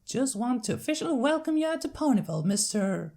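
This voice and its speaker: A woman's voice: on the high side, sing-song, a little fast, vocal fry, warm tone, voice pushed up, heavily acted. A woman voicing a friendly, plain-spoken young country mare with an American Southern accent and a folksy, hearty manner. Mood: friendly welcoming.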